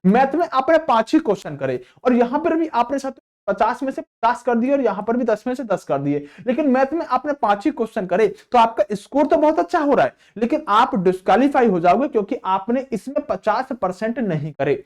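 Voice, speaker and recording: A man's voice: very high-pitched, very animated, fairly quick, few pauses. A man teaching exam candidates in an explanatory, repetitive way, often asking questions and answering them himself. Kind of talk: explanatory lesson addressed to students.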